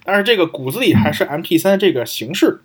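语速很快、音调偏高的男声. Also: male voice, fairly high pitch, sing-song, fast talker, husky. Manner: repeats words while forming points. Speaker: podcast co-host. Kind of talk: casual discussion of audio gear.

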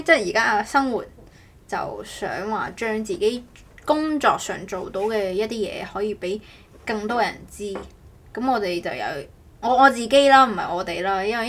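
A woman with a Cantonese accent and a medium-pitched voice hosts a casual podcast. She talks loosely and off the cuff, repeating and rephrasing her thoughts. It sounds like everyday conversation. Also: fairly bright tone, slightly rough. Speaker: podcast host. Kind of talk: casual chat.

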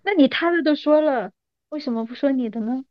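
Female guest, 30s, fairly high voice, playful coaxing chat among friends, very dry and a bit dull sound. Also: fairly quick, no echo. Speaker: podcast guest. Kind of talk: casual chat between old friends.

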